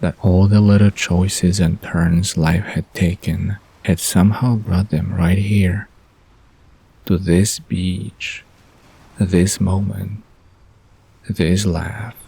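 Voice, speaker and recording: A man's voice: deep voice, level tone, slow, gravelly, mellow, husky, poor audio. A man narrating a scripted romantic bedtime story as the narrator of an audio drama.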